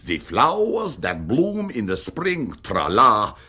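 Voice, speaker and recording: An actor playing an effusive, courtly Italian dinner guest in a radio comedy, speaking accented, halting English. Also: male voice, mid-range voice, wildly swooping pitch, bright, forceful tone, slightly rough, full character voice. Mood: amused, animated. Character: exuberant, talkative Italian man, a sociable dinner guest. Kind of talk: radio comedy drama acting.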